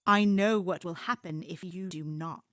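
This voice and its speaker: A woman's voice: lowish voice, normal speed, no echo, clean studio sound, close to an everyday voice. Woman acting a fierce, hot-tempered adventuress. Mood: threatening. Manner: forceful, threatening. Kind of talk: audio play dialogue.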